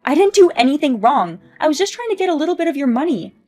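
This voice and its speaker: Whiny entitled mom, a woman puts her on: shrill, pleading, loud. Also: very animated, fast.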